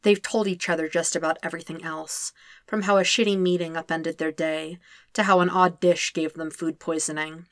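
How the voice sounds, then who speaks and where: Woman reading a story out loud, lowish voice, quiet and measured, dry close sound. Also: fairly even intonation, no room sound. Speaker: fanfiction reader. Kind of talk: scripted narration of a podfic.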